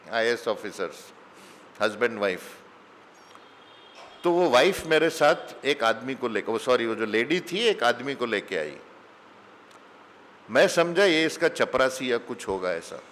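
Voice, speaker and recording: Spiritual teacher, man, middle-aged, unhurried storytelling sermon, mid-pitched, long hall echo. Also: fairly bright tone, slight background noise. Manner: slow, mixes English phrases into Hindi. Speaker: spiritual teacher giving satsang. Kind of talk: conversational sermon with anecdotes.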